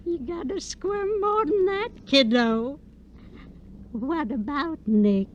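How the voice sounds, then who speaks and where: A woman's voice: high voice, lively intonation, takes it slowly, dull audio. An actress playing an elderly, folksy mother who rambles evasively in an old radio crime drama. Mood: guarded, suspicious. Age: older. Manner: folksy, uneducated speech, evasive. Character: cagey, rambling elderly mother in a rocking chair. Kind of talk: 1950s radio drama acting. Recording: noisy old radio broadcast recording.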